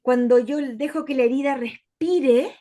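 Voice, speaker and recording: A woman's voice: sits high, measured pace, mellow. A woman speaking as a guest in a casual conversation, reflecting aloud and sometimes stopping to search for words.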